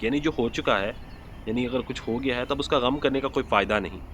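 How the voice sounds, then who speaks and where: A man's voice: medium pitch, fairly quick, a bit bright. Male storyteller, faint noise behind. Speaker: storyteller, channel host. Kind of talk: moral story narration.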